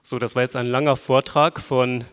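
A man's voice: mid-range voice, level tone, moderate pace, very noisy. A man moderating a panel discussion, summarizing and asking a guest a question. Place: large hall. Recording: reverberant hall.

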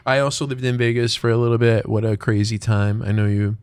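A man in his forties hosting a casual interview, speaking in a relaxed, reflective way, on a boom mic in an empty restaurant. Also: brisk, smooth, no room sound. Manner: relaxed, reflective, loose phrasing. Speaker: podcast host. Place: empty restaurant dining room. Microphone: studio mic on boom arm.

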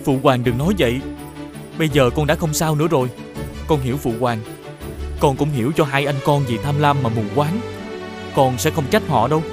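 A man playing a humble, forgiving young prince in an animated fairy tale, speaking formally and earnestly. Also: fairly high pitch, brisk, pauses often, lightly acted. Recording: clear music behind the voice.

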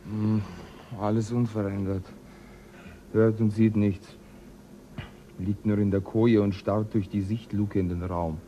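A man's voice: fairly low pitch, little pitch movement, a little slow, long pauses, dark tone, highs cut off, slight background noise, close to an everyday voice. An actor playing a tired, exasperated crewman caring for a sick shipmate in a radio play. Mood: weary. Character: weary male crewman Mill, nursing a sick mate.

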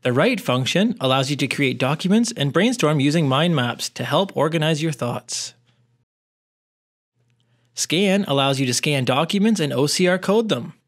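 A man presenting a scripted tutorial in a clear, instructional way. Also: on the high side, lively intonation, normal speed. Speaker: tutorial presenter, educational technologist.

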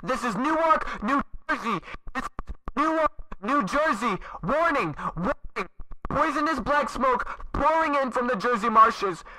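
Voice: sits high, expressive, a little slow, pushed and bright, slight background noise, heavily acted, poor audio. A performer playing an urgent radio announcer giving emphatic public warnings in a radio play. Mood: alarmed.

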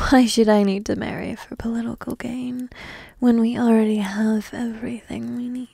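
A woman's voice: a little high, mellow, no room sound, clean studio sound, full character voice. A woman playing a petite, entitled but devoted dragon princess in a whispered, coy and intimate fantasy ASMR roleplay. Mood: coy, insistent. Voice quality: breathy, whispery. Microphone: close microphone, dry.

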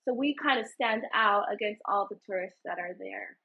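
A woman's voice: medium pitch, normal speed, vocal fry, breathy edge. A woman from Hawaii, a co-host in a casual panel chat over a video call, telling stories earnestly. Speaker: podcast co-host. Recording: muffled lo-fi call audio.

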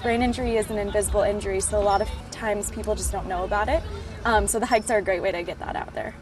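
A woman's voice: medium pitch, fairly even intonation, fast. A woman speaking casually and upbeat in an interview as a nonprofit spokesperson. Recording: distant mic, music, vehicle noise behind.

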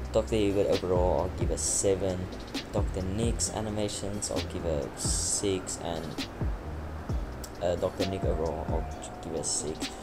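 A young man, an Afrikaans speaker, giving rambling casual gameplay commentary. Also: deep voice. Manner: rambling, filler-heavy. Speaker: game youtuber. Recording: voice-over with loud game music.

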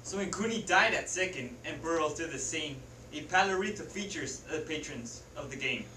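A man's voice: fairly high pitch, lively intonation, brisk, pushed and bright, slight background noise. A teenage boy reading a poem from a script in a student reader's theatre group, sometimes raising his voice for effect. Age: teens. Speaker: student performer. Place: classroom. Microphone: far camera. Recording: echoey classroom from a far camera.

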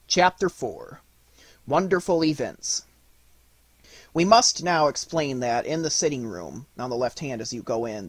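A man's voice: medium pitch, breathy edge, no echo. A man reading an audiobook, giving scripted storybook narration. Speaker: audiobook reader.

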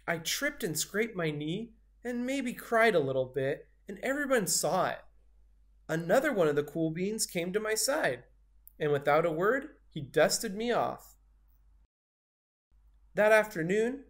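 Slow, highly animated storybook reader. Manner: theatrical, expressive, with dramatic pauses. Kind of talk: animated children's read-aloud, scripted narration.

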